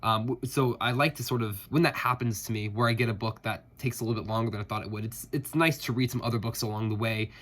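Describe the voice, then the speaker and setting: A man's voice: fairly low pitch, quick pace, hardly any pauses, fairly bright tone. A man with a stuffed-up voice from a head cold, chatting casually about his reading with many fillers and restarts. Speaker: booktuber.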